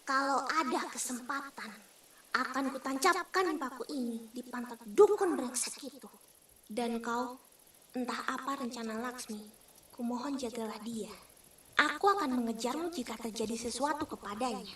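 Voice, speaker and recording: A woman's voice: on the high side, moderate pace, fairly bright tone, close to an everyday voice. A woman playing a fierce, blunt, protective female spirit in a comic dub, recorded distantly with some hiss. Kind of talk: Indonesian comic dub acting. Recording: hissy, roomy, distant microphone.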